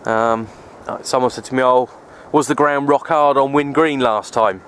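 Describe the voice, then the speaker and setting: A man talks off the cuff in a mid-pitched voice. He is low-key and resigned, circling back over his points like a casual vlogger. The recording is outdoors on a handheld camera, with faint noise behind him. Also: steady tone, moderate pace, fairly bright tone. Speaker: outdoor radio hobbyist vlogger. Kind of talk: casual rambling vlog narration. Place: outdoors on an open wooded hilltop. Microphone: handheld camera's built-in mic, held by speaker.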